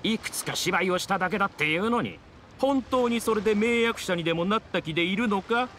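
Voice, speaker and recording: A man's voice: sits high, brisk, a little hiss or hum. An actor playing a pushy, smug middle-aged male reporter in a video game, with an oily, scornful way of talking. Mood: sneering, smug. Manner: oily flattery turning to sneering scorn. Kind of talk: dramatic Japanese video game voice acting.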